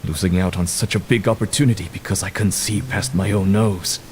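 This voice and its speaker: An actor playing a hesitant, deferential prison underling in a video game, contrite in manner, with music behind him. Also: male voice, low-pitched, fairly even intonation, brisk, slight background noise, close to an everyday voice. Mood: regretful, deferential. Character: nervous, apologetic, deferential male prison underling.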